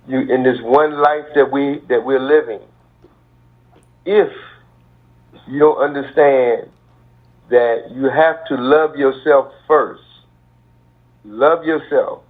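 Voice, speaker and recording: A man, a basketball coach, talks very slowly and deliberately, restarting his sentences as he gathers his thoughts. His voice sits at a middle pitch and comes through a tinny phone line. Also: steady tone. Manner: slow, deliberate, repeats himself as he starts. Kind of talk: guest answer on a podcast. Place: daughter's apartment.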